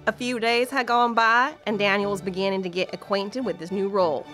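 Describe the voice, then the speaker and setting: A woman's voice: medium pitch, fairly even intonation, a little fast, a bit bright, faint music behind. A woman narrator telling a scripted Old West story in a folksy storytelling style.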